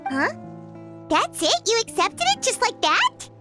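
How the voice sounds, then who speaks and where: A woman voicing a tiny, chatty, cheeky childlike fairy companion in a video game, with a squeaky voice and exclamatory delivery. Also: very animated, unhurried, over loud music, exaggerated voice. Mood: indignant, cheeky. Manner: exclamatory, indignant, eager.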